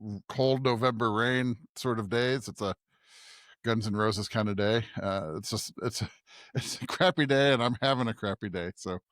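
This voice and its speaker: An American man co-hosting a podcast as a pastor, chatting casually in a halting, conversational way. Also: fairly low pitch, a bit bright, no room sound, studio quality.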